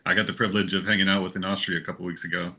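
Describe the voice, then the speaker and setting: A man hosting a casual chat, talking in a loose, informal, halting way. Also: deep voice, level tone, sharp tone. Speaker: podcast host.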